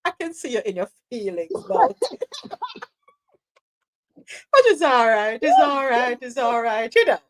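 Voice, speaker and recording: A woman's voice: a little high, sing-song, unhurried, long pauses. A woman with a Jamaican accent hosting a live radio chat show, reading articles aloud and laughing as she slips into patois. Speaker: host.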